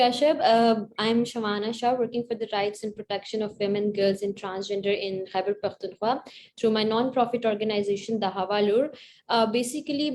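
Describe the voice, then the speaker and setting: A woman's voice: medium pitch, darkish tone. A Pakistani woman speaks formally as an activist panelist. Her voice is mid-range, with a faint noise behind it. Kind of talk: formal self-introduction on a panel.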